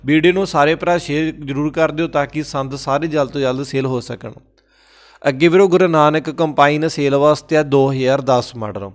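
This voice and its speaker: A man with a Punjabi accent hosting a farm-machinery sales channel, briskly reading listings and repeating prices and phone numbers. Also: on the high side. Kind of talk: classified sales rundown voice-over. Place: voice-over, not recorded at the pictured sites.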